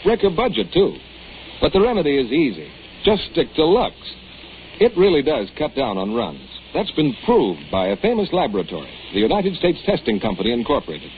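40s radio announcer guy, very animated pitch, scripted sales read, faint hiss. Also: a little fast. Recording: tinny, lightly noisy 1940s radio recording.

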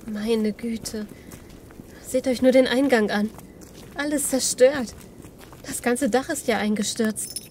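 A woman's voice: fairly high pitch, fairly even intonation, slow, long pauses, darkish tone, slight background noise. An actress playing a determined, impatient female survivor in an audio drama. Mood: shocked.

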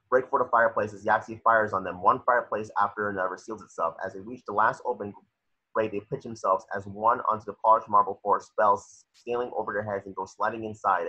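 Husky, forceful low-voiced male narrator. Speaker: narrator reading stage directions. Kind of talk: screenplay narration at a table read. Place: home, video call. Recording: dull, lo-fi video call.

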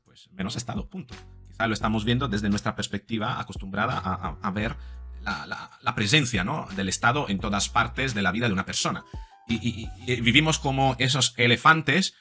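Reflective man, bright voice. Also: fairly low pitch, animated, brisk, faint music behind. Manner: reflective, with many fillers and repeats. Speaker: psychologist and commentator. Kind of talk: video commentary to camera. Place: home studio room.

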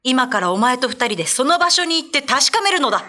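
A woman playing a stern, resolute old male Buddhist priest in a radio ghost drama, with commanding, deliberate, old-fashioned speech. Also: high-pitched, very animated, sharp tone, full character voice.

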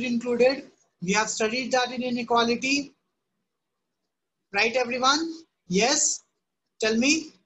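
A woman's voice: fairly high pitch, fairly bright tone, rough recording. A woman teaching a live online math class, often asking "right?" and "okay?" to check on students. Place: home room.

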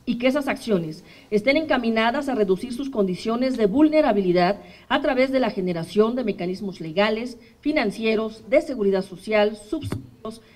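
A woman in her thirties with a Mexican accent, reading a formal statement at a podium microphone for a human rights commission, measured and steady. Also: on the high side. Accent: Mexican Spanish. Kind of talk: formal statement read aloud. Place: indoor press room, at a podium.

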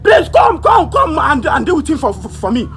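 A man's voice: very high voice, very animated, moderate pace, vocal fry, a bit bright, shouting, slight background noise, full character voice. A man with a Nigerian accent playing a hot-headed, boastful troublemaker in a comic drama, stammering and repeating his words. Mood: agitated, threatening. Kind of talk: comic drama acting in Nigerian English and Pidgin.

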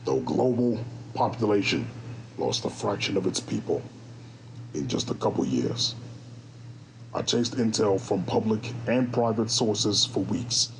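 A man playing a young adult brother in an animated visual novel, speaking in heartfelt slangy dialogue. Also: fairly low pitch, a little slow, vocal fry, a little hiss or hum, low-quality recording. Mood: grave, subdued. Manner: profane, slangy, confrontational. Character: two young adult brothers, one brash and aggrieved, one grave. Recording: music under the dialogue.

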